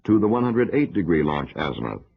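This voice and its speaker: A dull, lo-fi film soundtrack carries a man's low, husky voice. He narrates an educational film from a script, formal and measured, explaining each point in an instructional way. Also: sing-song.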